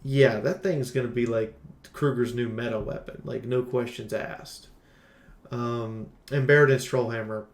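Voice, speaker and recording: A man giving casual, unscripted game commentary as a reviewer, rambling with animated asides and slang. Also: medium pitch, unhurried, slight background noise. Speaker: video game reviewer and streamer. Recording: echoey room.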